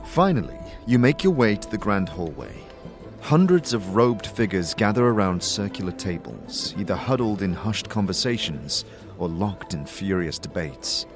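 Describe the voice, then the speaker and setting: A man giving scripted, dramatic second-person fantasy narration as a storyteller. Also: fairly low pitch.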